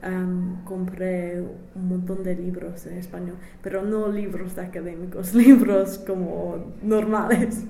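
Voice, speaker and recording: A young woman with as her gives informal interview answers in a slow, hesitant way, feeling for the right words. Her pitch moves little and the room adds noticeable echo over faint noise. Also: level tone, vocal fry, warm tone. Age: young adult. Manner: hesitant non-native speech, searching for words. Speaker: interviewee, language student.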